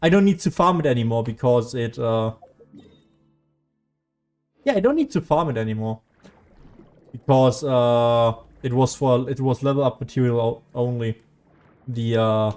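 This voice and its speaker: A man streams a video game in a casual, rambling way. His voice sits at an average pitch, and he often drops his train of thought and picks it up again. Soft music plays behind him. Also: big pitch swings, measured pace, long pauses. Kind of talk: casual gameplay commentary.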